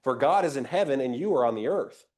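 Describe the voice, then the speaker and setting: A man's voice: mid-range voice, lively intonation, fast, darkish tone. Guy leading a bible class, bit nasally, chatty, keeps asking the room questions. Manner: conversational, invites comments, rhetorical questions. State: a little nasal from a cold. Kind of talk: interactive Bible class teaching.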